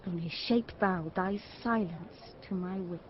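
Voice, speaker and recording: An actress playing an earnest, resolute young shipwrecked gentlewoman, speaking formal verse in a Shakespeare radio drama. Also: lowish voice, unhurried, lightly acted. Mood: earnest resolve. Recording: muffled, faint ambience behind.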